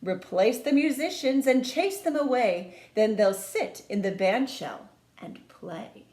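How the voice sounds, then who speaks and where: A woman reading a children's storybook aloud in an expressive, sing-song storytime manner, speaking to young listeners like a teacher. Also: a little high, slow. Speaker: music teacher reading a picture book to children. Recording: echoey room.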